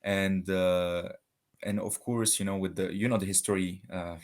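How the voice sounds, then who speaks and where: A man with a lowish voice and a gravelly, creaky edge, talking as a guest in a casual debate. He speaks haltingly, restarting sentences while he hunts for the right word. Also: little pitch movement. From Switzerland. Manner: halting, searches for words with many restarts.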